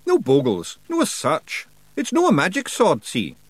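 A man's voice: a little high, wildly swooping pitch, normal speed, no room sound, pitched above the performer's own voice. A man voicing a tiny, boisterous male fairy warrior chief with a broad Scottish accent in an audiobook. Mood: blustering. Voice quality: broad dialect, blustering and cheerful. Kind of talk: audiobook character voice.

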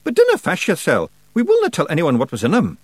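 A man voicing a rascally, proud little clansman with a broad Scottish accent and a blustering, excitable manner. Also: a little high, quick pace, dry recording, pitched above the performer's own voice. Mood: defensive.

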